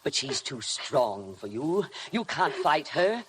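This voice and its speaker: An actress playing a cold, obsessive housekeeper in a 1950s radio drama, formal, deliberate and insinuating. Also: female voice, low voice, very animated, heavily acted. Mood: bitter, obsessive. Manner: deliberate, insinuating, coldly formal, hypnotic repetition. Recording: noisy vintage 1950 radio broadcast.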